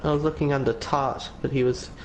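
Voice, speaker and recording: Relaxed aussie bloke, ums and uhs a lot, mid pitch, faint hiss. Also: male voice, brisk, poor audio. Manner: laid-back, hesitant fillers. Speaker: co-commentator. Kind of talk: live sim racing commentary.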